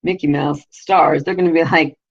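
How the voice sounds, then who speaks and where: A woman with a low, raspy voice hosting a casual live video show. She rambles crudely and leans on constant "you know" fillers. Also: steady tone, moderate pace, muffled recording. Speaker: vlogger, show host.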